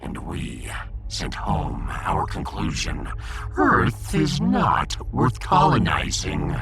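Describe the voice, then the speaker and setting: A man's voice: a bass voice, huge pitch swings, slow pace, gravelly, over loud music, full character voice. A man voicing a pompous, gloomy little alien fish emperor in a sci-fi audiodrama, theatrical, long-winded and imperious. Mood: aggrieved, melodramatic. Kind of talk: sci-fi audiodrama character acting.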